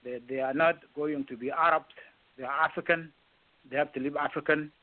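Halting South Sudanese man on muffled line. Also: fairly high pitch, steady tone, no room sound. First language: not English. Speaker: guest, presidential candidate. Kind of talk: radio interview, personal account.

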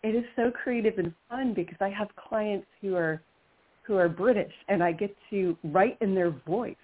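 A woman's voice: medium pitch, moderate pace, husky. An American woman, a radio show guest, chatting enthusiastically with frequent restarts. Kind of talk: casual radio chat. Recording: phone-like lo-fi line.

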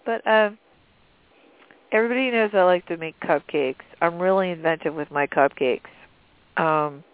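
A woman's voice: lowish voice, normal speed, dry recording. A sniffly woman co-hosting a cooking show, chatting casually and rambling with lots of fillers. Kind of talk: casual chat. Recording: dry tinny phone line.

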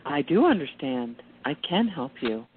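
A woman playing a calm, cool, sanctimonious friend who pries into feelings in a radio play. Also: low-pitched, normal speed, slight background noise. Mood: earnest, soothing. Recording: thin phone-like audio.

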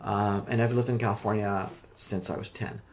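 A middle-aged man from Egypt, a guest telling how he met his wife in a relaxed, hesitant way. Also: on the low side, a little fast. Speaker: interview guest, husband. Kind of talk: casual conversation. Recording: tinny phone-like lo-fi sound.

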